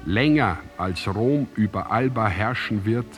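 An actor as the grave narrator of an ancient tragedy in a radio drama, reciting in a measured, solemn, declamatory way. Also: male voice, fairly low pitch, expressive. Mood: subdued, grave. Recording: music under the voice. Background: music.

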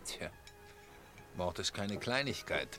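An actor in a German radio play voicing a sly pub regular who needles others with mock-jovial insinuation. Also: male voice, low-pitched, lively intonation, takes it slowly, pushed and bright, soft background music, exaggerated voice. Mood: dry, ironic.

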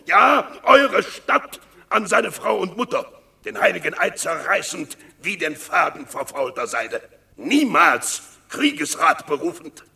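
A gravelly, bitter scheming general. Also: male voice, high voice, sharp tone, heavily acted. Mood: accusing. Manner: bitter, accusing verse delivery. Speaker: actor in radio play. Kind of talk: classical verse drama, radio play.

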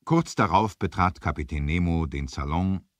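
A man narrating a scripted 1970s adventure audio drama, measured, with dramatic emphasis. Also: low voice, no echo.

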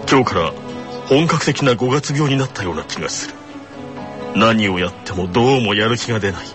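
Guy acting serious tired teen sports captain, low deadpan anime monologue, music loud behind. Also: fairly quick, natural voice. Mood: solemn, weary. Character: stern, weary teenage tennis captain writing his diary. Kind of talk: anime radio drama monologue.

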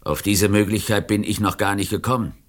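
An actor playing a coolly arrogant, menacing criminal mastermind in a German radio play, with a taunting, deliberate delivery. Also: male voice, fairly low pitch, level tone, close to an everyday voice. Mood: cool, musing.

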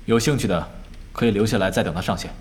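Actor as a composed, low-voiced male team captain. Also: a little hiss or hum, natural voice. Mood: calm, serious. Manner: measured, serious briefing. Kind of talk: Chinese audio drama acting.

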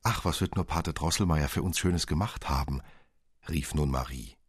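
A man narrating a literary fairy tale as a storyteller, measured and expressive. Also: rumbling low, husky, dry recording, clean studio sound.

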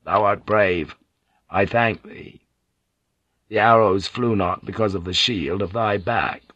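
A man's voice: fairly low pitch, takes it slowly, no room sound. A man voicing a worn-out, guarded sailor who pilots ships, speaking plainly. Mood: wary. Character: weary, wary male ship's pilot. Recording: dull, dry lo-fi recording.

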